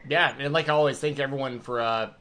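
A man hosting a live stream in casual chat, relaxed and rambling, with many fillers. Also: mid-range voice, brisk. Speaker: podcast host.

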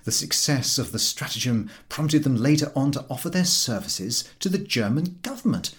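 A man reading a humorous literary story aloud in an expressive, dryly droll way. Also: medium pitch, normal speed. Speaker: audiobook reader.

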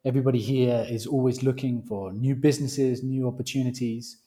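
A British man, a company founder, speaking as a guest on a remote interview call, with halting speech and frequent restarts. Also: mid-range voice, fairly even intonation, mellow. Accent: British English. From Britain. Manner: halting, restarts phrases often.

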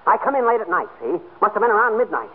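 A high, swooping voice on a tinny vintage radio recording: an indignant wisecracker under arrest. He blusters and spins tall tales in slangy style. It is broad 1940s radio comedy. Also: big pitch swings, racing. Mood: cocky boasting.

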